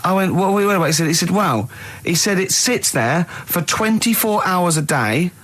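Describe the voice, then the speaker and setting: Male comedy host, slightly high husky voice, very animated, teasing casual banter. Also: fairly quick.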